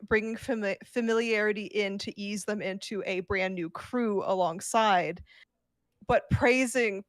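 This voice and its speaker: Female podcast co-host, medium pitch, dry recording, conversational read-and-comment delivery. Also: dry recording. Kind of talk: reading an article aloud and commenting casually.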